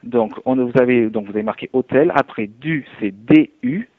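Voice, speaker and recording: A man speaks over a thin, narrowband telephone line in a mid-pitched voice. He sounds like a helpful receptionist, patient and methodical as he explains step by step. The sound is dry, with no room echo. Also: normal speed. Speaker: hotel night receptionist. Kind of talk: phone customer service, spontaneous conversation. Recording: tinny narrowband phone line.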